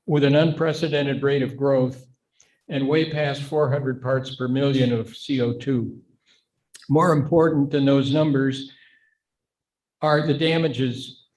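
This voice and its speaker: A middle-aged or older man giving an informal, explanatory talk to a congregation in an earnest, measured way. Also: medium pitch, a little mellow, rough recording. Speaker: guest speaker at a congregation service.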